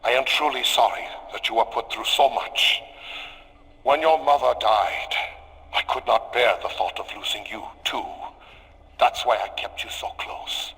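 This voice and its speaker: Gravelly, remorseful German scientist father. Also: medium pitch, bright, forceful tone. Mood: pleading, remorseful. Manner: earnest, pleading, measured. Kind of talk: video game cutscene acting. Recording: reverberant hall.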